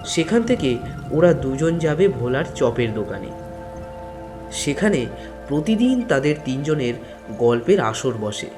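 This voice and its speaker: A man's voice: on the high side, sing-song, measured pace, long pauses. A man reading a scripted village story as its narrator, in storytelling style. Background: background music.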